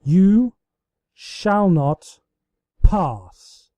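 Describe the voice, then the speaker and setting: Here is a grand, playful pony princess from a storybook, acted out by a man. The voice is pitched up and swings widely, with a soft, dark tone. The delivery is mock-heroic and full of exclamation. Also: animated, extremely slow, dry recording, full character voice. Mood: mock-heroic drama.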